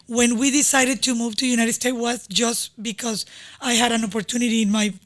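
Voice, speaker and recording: A woman in her forties from Venezuela, a native Spanish speaker with a Venezuelan Spanish accent, talking as a podcast guest in a studio. She speaks in a warm, halting way with many pauses and small grammar slips. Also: on the high side, flat delivery. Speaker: guest, real estate agent. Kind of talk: casual interview.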